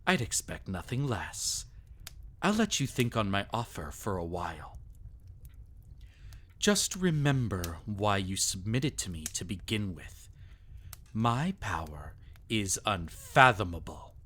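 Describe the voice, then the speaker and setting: A man's voice: on the low side, very animated, slow pace, fairly bright tone, slightly rough, exaggerated voice. A man playing a sadistic, possessive vampire in intimate ASMR roleplay, theatrical and taunting, often dropping into a whisper. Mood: possessive, arrogant. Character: sadistic, possessive male vampire toying with a captive.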